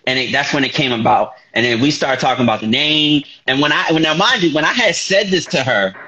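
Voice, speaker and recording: A man's voice: fairly high pitch, fairly quick, bright, forceful tone, rough recording. A man in his thirties hosting a casual talk show, chatting loosely with frequent restarts and slang. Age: 30s. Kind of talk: casual chat.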